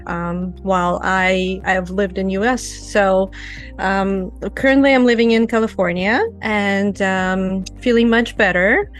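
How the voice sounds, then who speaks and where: A woman in her forties from Ukraine, a podcast guest, speaking in a soft, measured way with many fillers. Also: mid-range voice, fairly even intonation, faint music behind. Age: 40s. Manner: soft-spoken, measured, with frequent "you know" and "um". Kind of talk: conversational interview.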